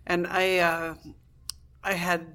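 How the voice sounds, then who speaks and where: A woman in a casual conversation, animated and laughing often, with a lot of fillers as she talks. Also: lowish voice, slow. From Cuban family in Southern California. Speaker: guest, blogger. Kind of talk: casual chat.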